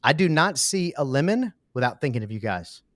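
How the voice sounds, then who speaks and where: A man's voice: medium pitch, very animated, brisk, fairly bright tone, dry recording, clean studio sound. A middle-aged man hosting a podcast, upbeat and enthusiastic, telling anecdotes and repeating phrases for emphasis. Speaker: podcast host. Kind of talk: casual interview chat.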